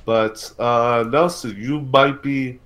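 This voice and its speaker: A man hosting a casual podcast livestream about films and games, talking haltingly and repeating words as he thinks aloud. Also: mid-range voice, fairly even intonation, a bit bright.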